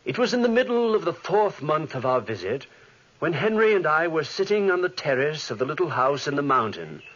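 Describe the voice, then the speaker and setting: An actor playing a haunted, guilt-ridden scientist in melodramatic 1940s radio drama style. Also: male voice, on the high side, very animated, a little fast, fairly bright tone. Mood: brooding narration. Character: haunted, guilt-ridden scientist telling his own tale, tense and evasive. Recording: muffled 1940s radio recording.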